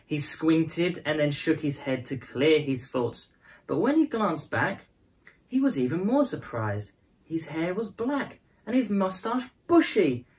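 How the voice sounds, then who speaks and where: A woman narrates a children's storybook in a fairly low voice. Her intonation is very lively, rising and falling widely as she shapes prose and verse expressively for young listeners. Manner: expressive, dramatized phrasing for children. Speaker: storyteller reading a children's picture book.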